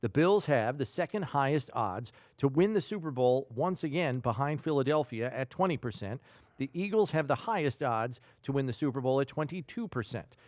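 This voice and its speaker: A man with an American accent hosting a football podcast, talking through statistics in a measured, analytical, conversational way. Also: mid-range voice, lively intonation, darkish tone, dry recording. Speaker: sports podcast host.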